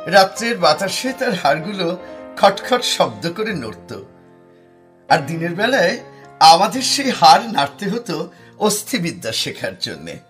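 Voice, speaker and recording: A brooding young poet played by a man narrates a ghost tale in an audio drama. His fairly high voice moves very slowly, with suspenseful pauses, over faint music. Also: wildly swooping pitch, a bit bright, heavily acted. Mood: reflective. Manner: measured, pausing, repeating phrases for suspense.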